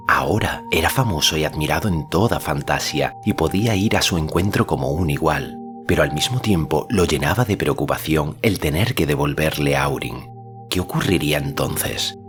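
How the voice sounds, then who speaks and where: An extremely low male voice reads literary fiction aloud. He tells the story in a measured, solemn way, with music clearly playing underneath. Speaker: audiobook narrator. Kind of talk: scripted literary narration of a fantasy novel. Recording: music behind the voice. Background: background music.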